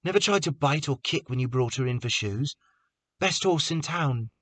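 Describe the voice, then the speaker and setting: A man voicing a soft-hearted young blacksmith's apprentice, speaking subdued and sympathetic. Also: medium pitch, expressive, moderate pace, no echo, voice pushed up.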